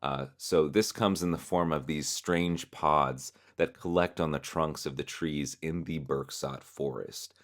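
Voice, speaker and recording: A man hosting an explainer, narrating in a measured, explanatory way that is partly scripted. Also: very deep voice. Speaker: host explaining a horror web series.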